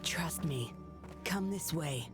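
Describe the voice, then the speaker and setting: A woman's voice: low-pitched, animated, slow pace, music in the background. An actress playing a cool, sly, commanding female operative in a video game, with a controlled, taunting, menacing manner. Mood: controlled menace.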